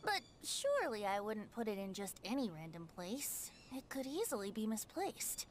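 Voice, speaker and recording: A woman's voice: medium pitch, big pitch swings, moderate pace, a little hiss or hum, lightly acted. A woman voicing a carefree, playful young male bard who likes his drink. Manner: light, teasing, breezy. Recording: game audio with music behind.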